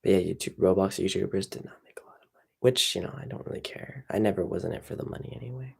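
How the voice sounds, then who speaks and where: A man's voice: sits low, quick pace, gravelly, slightly rough, whispered. A young man chatting casually on a livestream, tired and rambling with lots of fillers. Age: young adult. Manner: relaxed, rambling, many fillers. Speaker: streamer.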